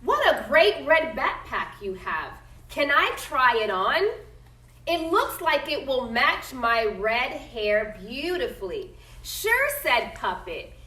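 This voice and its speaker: A woman teacher reads a picture book to her class and keeps pausing to ask the children questions. Her voice is high and bright. She is heard from a distance in a reverberant classroom. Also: big pitch swings. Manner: asks the class questions and checks in with "okay?". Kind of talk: classroom read-aloud lesson.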